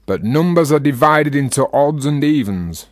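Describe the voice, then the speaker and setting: An actor playing a talkative, teasing Yorkshire freethinker in a radio play, with a rhetorical, provocative manner. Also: male voice, on the high side, lively intonation, normal speed, dry recording, clean studio sound, natural voice. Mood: argumentative, expansive.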